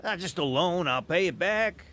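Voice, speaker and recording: Sharp-voiced, wheedling small-time hustler. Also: male voice, sits high, expressive, normal speed. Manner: wheedling, hesitant. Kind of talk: radio drama dialogue acting.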